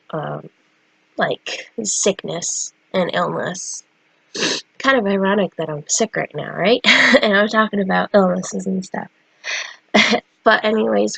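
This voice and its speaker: A woman's voice: on the low side, creaky, husky, no echo, poor audio. A young woman with a stuffy, cold-congested voice, chatting casually about her drawings with many fillers. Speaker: artist vlogger. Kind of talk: casual chat.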